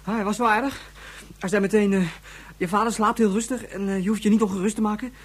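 An actor plays an eager, naive young boy in a radio drama. The voice is a little husky and rushes along quickly, excitable and earnest, tripping over the hard words. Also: medium pitch, close to an everyday voice. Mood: anxious, pleading. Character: earnest, eager young boy defending his scientist father. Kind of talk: radio play dialogue.

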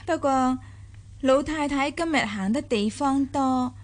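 A woman's voice: sits high, measured pace. A woman playing a worldly, assured young mistress of a wealthy household in a radio drama, sociable. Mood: explaining. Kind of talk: Cantonese radio drama acting.

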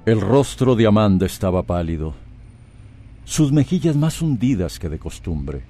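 A man's voice: fairly low pitch, big pitch swings, a little slow, warm tone, faint music behind, full character voice. A Costa Rican man playing the solemn storyteller of a radio soap, narrating dramatically. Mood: solemn, dramatic.

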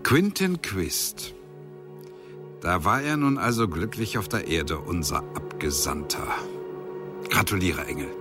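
Brief: superior angel overseer in radio drama, very low, slow, deliberate, music underneath. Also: male voice, sing-song. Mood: satisfied. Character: lofty, satisfied male cherub, a heavenly superior. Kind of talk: German radio drama acting.

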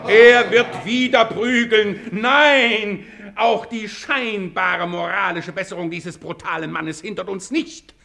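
An actor playing a pompous, accusing courtroom advocate in a 1950s German radio play, with rhetorical, drawn-out emphasis. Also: male voice, sits high, fairly even intonation, creaky, sharp tone, full character voice. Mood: indignant.

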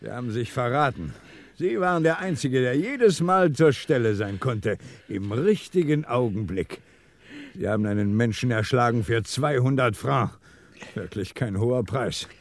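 An actor playing a shrewd, commanding police commissioner with a measured, dryly ironic manner in a radio crime drama. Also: male voice, mid-range voice, lively intonation, close to an everyday voice. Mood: triumphant, stern. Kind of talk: 1960s German radio crime drama acting.

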